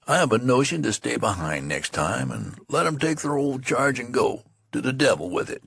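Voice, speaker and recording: A sore, grumbling young soldier with a country drawl , put on by a male reader, his voice pushed higher than a gravelly, husky base and leaping around a lot. It sounds dry and close, like a storybook read in a dead room. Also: big pitch swings, moderate pace, fairly bright tone, no echo, full character voice. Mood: aggrieved. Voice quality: rustic folksy dialect.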